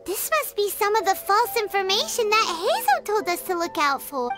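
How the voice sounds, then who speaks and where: A woman voicing a small, curious, excitable childlike fairy companion in a video game, squeaky and given to wondering aloud. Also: normal speed, over loud music. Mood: curious, puzzled.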